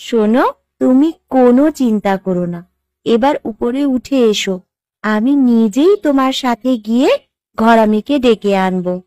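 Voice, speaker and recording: An actress as a slow-talking, shrewd young wife. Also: female voice, on the high side, lively intonation, no echo, studio quality. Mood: knowing, reassuring. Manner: firm, instructing. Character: shrewd, protective young village wife, new bride. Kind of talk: Bengali cartoon voice acting.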